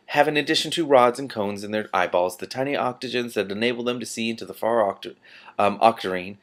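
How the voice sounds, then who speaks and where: A man reading a fantasy novel aloud expressively, breaking off for casual reactions. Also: medium pitch.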